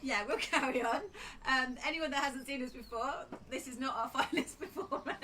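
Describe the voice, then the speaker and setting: A woman's voice: high voice, moderate pace, recorded from a distance, noisy background. A woman in a band, chatting with viewers during a live stream, teasing and laughing as she talks. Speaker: band member and co-host.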